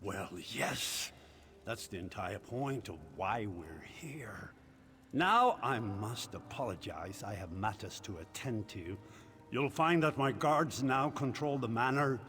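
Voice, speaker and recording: A man's voice: medium pitch, animated, a bit bright. An actor playing a smug, gloating usurper villain in a fantasy game, mocking and theatrical, drawing out his words. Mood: cold, triumphant. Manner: mocking, drawn-out, gloating delivery.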